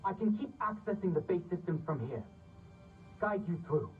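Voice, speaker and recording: A man's voice: high voice, expressive, normal speed. A man playing a nervous, guilt-ridden tech ally in a video game, heard through a radio-like filter. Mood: hesitant, remorseful. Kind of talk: video game cutscene acting. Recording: thin phone-like voice, distant, music behind.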